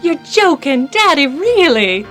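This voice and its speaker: An actress playing an ambitious, sharp-tongued daughter of an oil tycoon in an audio drama. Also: female voice, high-pitched, sing-song, a little slow, soft background music, exaggerated voice. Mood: hopeful.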